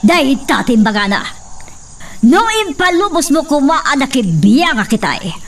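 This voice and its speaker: A woman's voice: high-pitched, big pitch swings, unhurried, far from the mic, full character voice. A woman playing a haughty, harsh matriarch in a radio drama, commanding and scolding. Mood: angry, imperious.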